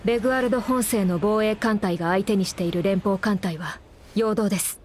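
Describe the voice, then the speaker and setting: Polite, precise young woman ship officer played by a woman, even-pitched, steady. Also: a little fast, slight background noise, close to an everyday voice. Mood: calm. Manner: polite, precise, formal reporting. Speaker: game character.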